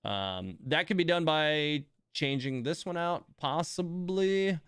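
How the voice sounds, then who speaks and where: A man giving casual live game commentary as a streamer, musing and thinking out loud. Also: fairly high pitch, lively intonation, takes it slowly, clear, resonant tone, dry recording, clean studio sound. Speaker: game livestreamer.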